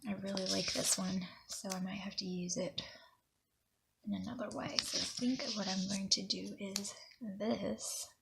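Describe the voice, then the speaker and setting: A woman from Alabama, chatting casually while decorating a planner, sniffly, rambling and dropping to soft near-whispers as she works. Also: medium pitch, flat delivery, unhurried, gravelly, very noisy. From Alabama, USA. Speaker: planner vlogger. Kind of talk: casual crafting talk to camera.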